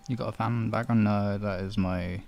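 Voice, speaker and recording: A man's voice: on the low side, fairly even intonation, brisk, a little mellow, lo-fi sound. A man chatting casually and distractedly to his stream viewers in muttered, broken-up phrases while he sets things up. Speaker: live streamer, host.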